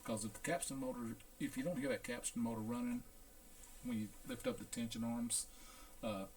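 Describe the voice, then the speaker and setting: A man with a gravelly, creaky voice talks casually through a technical demonstration. His mid-range pitch moves around a good deal as he pauses and thinks aloud without hurry. There is noticeable noise and room ambience behind him. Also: sing-song. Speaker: audio equipment repair technician. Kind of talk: casual hands-on demonstration narration.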